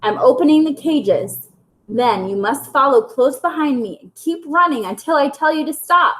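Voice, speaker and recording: A woman's voice: high voice, animated, moderate pace, voice pushed up. A woman voicing a plucky, bossy, anxious young hen with an urgent, squawking delivery in a children's storybook. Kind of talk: children's storybook read-aloud.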